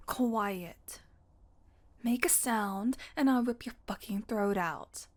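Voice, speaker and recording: A woman's voice: medium pitch, slow, a little hiss or hum, close to an everyday voice. A woman playing a sardonic, flirty female vampire in an intimate audio roleplay, talking casually and teasingly. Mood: strained, hungry, desperate.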